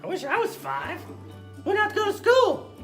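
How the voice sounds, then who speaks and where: A woman's voice: extremely high pitch, huge pitch swings, normal speed, a bit bright, soft background music, exaggerated voice. A woman voicing a playful, sassy little girl puppet who chatters in a childlike, bossy, folksy way during an improvised puppet show. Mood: playful, matter-of-fact.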